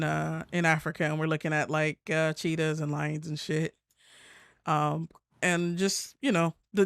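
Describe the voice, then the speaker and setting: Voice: mid-range voice, fairly bright tone, dry recording, clean studio sound. An American podcast co-host chatting casually in an informal, slangy way with swearing. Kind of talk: casual chat.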